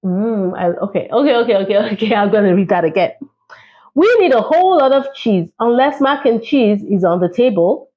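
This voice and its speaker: A woman's voice: medium pitch, expressive, a little fast, muffled recording. A woman hosting a children's read-aloud, playful and chatty, speaking straight to her young listeners. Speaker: children's storytime host and reader.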